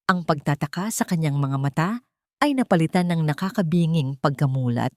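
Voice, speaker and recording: A woman's voice: low voice, darkish tone, no echo, studio quality. A woman reading a scripted first-person romance story as its narrator.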